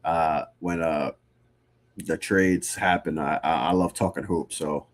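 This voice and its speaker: A man's voice: very deep voice, steady tone, a little slow. A man in his thirties hosting a casual live-stream sports talk show, sounding stuffy from a cold and speaking in a loose, conversational way with frequent restarts. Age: 30s. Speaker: podcast host.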